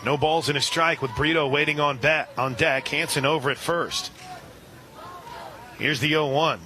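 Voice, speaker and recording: A man calling a softball game live on radio, steady between pitches and surging loud and high on big plays. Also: moderate pace, noisy background. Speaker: radio play-by-play announcer.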